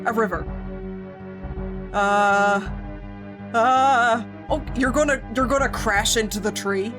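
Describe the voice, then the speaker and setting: A woman streamer reading a visual novel aloud with giggly, animated commentary. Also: on the high side. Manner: animated, giggly, reads text with playful voices. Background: faint game music.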